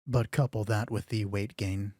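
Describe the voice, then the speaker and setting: A man narrating a dramatic roleplay story in a hushed, deliberate way, with pauses between phrases. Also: on the low side, steady tone, no echo, clean studio sound.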